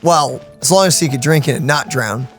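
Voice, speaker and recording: A woman's voice: extremely low pitch, moderate pace. A woman streaming a game, chatting and reacting casually as she plays. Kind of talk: casual playthrough chat and reactions. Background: soft game music.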